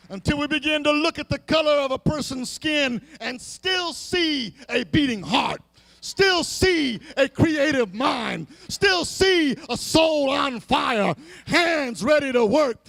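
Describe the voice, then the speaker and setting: A man's voice: very high voice, gravelly, a bit bright. A man preaching in an impassioned, dramatic oration at a live gathering, with emphatic, rhythmic repetition. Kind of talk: impassioned sermon-style oration at a live event. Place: live public gathering, speaking to an audience.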